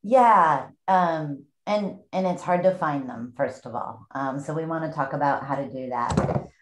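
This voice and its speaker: A woman in her forties, a sales executive speaking in a measured way on a webinar panel over a video call. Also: sits low. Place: home room, indoors. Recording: echoey room on a video call.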